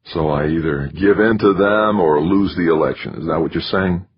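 A big, stubborn senate candidate, as a male narrator plays him, speaking low and quietly intense. His deep voice is husky and a little rough. The recording sounds dull and lo-fi. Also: moderate pace.

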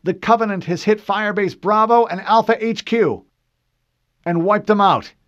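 A man doing a high, strained marine corporal. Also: animated, normal speed. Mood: urgent. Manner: urgent, shouted radio reports. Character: desperate male marine corporal under siege. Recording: dull lo-fi dry recording.

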